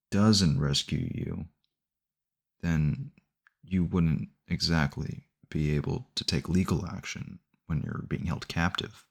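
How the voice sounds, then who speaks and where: A man's voice: deep voice, gravelly, full character voice. A man playing a sweetly possessive, obsessive boyfriend in an ASMR audio roleplay, rambling earnestly and intimately to the listener. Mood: patiently explaining. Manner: doting, rambling, earnest self-justifying, close and conversational.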